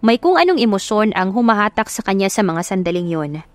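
A woman's voice: medium pitch, very animated, a little fast, no echo. Woman reading a love story audiobook, dry close sound w music behind. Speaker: narrator. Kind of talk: scripted audio romance narration.

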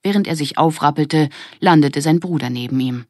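A woman's voice: sits low, moderate pace, a little mellow, dry recording, studio quality. A woman narrating a children's audiobook in a scripted storytelling style.

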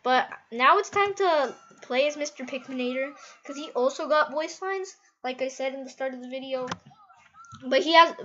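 Casting: female gaming host, high-pitched, casual and giggly, slightly muffled lo-fi recording. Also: fairly bright tone. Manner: giggly, rambling, easily amazed. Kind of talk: casual gameplay commentary.

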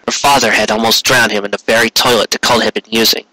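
A man's voice: lowish voice, fairly even intonation, fast, sharp tone, dry recording, low-quality recording. A man narrating a story as a dramatic reading, intense in manner, now and then stumbling over words. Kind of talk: dramatic reading of fan fiction.

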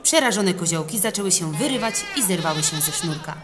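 A woman reading a children's legend as expressive storybook narration. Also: fairly low pitch, fairly quick, music in the background. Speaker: audiobook reader.

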